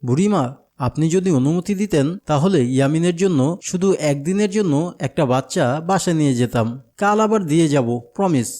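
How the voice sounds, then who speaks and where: Cartoon older companion, male performer: patient, coaxing, Bangladeshi accent, soft-edged lighter-pitched voice. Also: animated, fairly quick, lightly acted. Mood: persuading. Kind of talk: cartoon dialogue.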